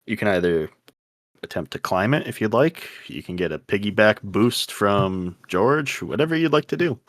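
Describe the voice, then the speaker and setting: A man's voice: lowish voice, expressive, normal speed, a bit bright, dry recording, clean studio sound. A man acting as game master, narrating a tabletop role-playing game in an informal, slangy, conversational way.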